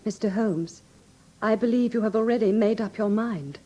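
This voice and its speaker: A woman's voice: mid-range voice, normal speed, warm tone, lightly acted. An actress with an English accent playing a well-bred, anxious young lady, polite and earnest, in a classic radio drama. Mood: anxious, trusting.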